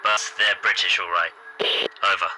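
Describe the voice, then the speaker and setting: An actor playing a British army radio operator in the war, reporting watchfully in a dramatic transmission. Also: male voice, sits low, steady tone, moderate pace, lightly acted. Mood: watchful, urgent. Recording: very noisy crackling field-radio transmission.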